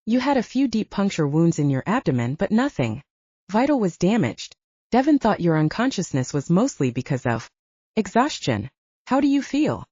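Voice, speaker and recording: A woman's voice: medium pitch, very animated, moderate pace, dark tone, breathy edge, no room sound, highs cut off. A woman voicing a tender, caring sister at a bedside in an audiobook, soothing and coaxing. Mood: gentle, relieved.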